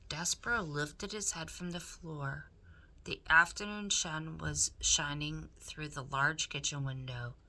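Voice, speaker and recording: Lady reading a kids book aloud, lowish bright voice, really dramatic storyteller style. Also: fairly even intonation, slow. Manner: dramatic, addressing the listener directly. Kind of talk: expressive storybook narration for children.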